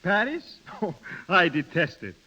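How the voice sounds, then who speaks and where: An actor playing a flippant Austrian travel agent, gaily scornful and theatrical, in 1930s radio drama style. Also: male voice, high-pitched, sing-song, a little slow, bright, forceful tone, full character voice. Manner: laughing, theatrical. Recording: dull 1930s radio broadcast recording.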